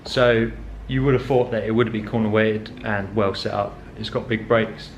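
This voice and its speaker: A man's voice: fairly low pitch, fairly even intonation, normal speed, muffled recording, a little hiss or hum. A young man chatting casually in a car vlog, rambling with many ums and correcting himself as he goes. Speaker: car vlogger.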